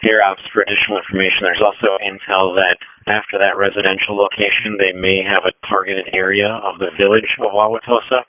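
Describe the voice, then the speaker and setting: Low, flat-voiced man on police radio. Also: brisk, few pauses, no room sound. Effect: police two-way radio. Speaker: police air operations coordinator on radio. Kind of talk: radio dispatch traffic. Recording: narrowband, dull police radio transmission.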